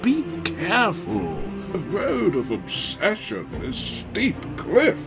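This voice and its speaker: A man voicing a grave older royal father in a story reading, pleading and cautioning with solemn, drawn-out words. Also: high voice, wildly swooping pitch, over loud music, voice pushed up, exaggerated voice. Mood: grave warning.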